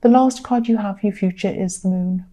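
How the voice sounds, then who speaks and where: Mellow, slightly croaky, tired woman. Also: medium pitch, brisk. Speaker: tarot reader. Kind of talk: conversational tarot reading to viewers.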